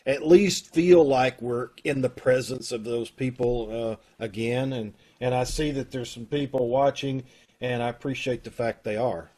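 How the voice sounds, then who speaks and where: A man's voice: mid-range voice, steady tone, normal speed, darkish tone. A man hosting a casual chat over a video call from home, friendly and loose with fillers. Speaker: host of a community radio troupe.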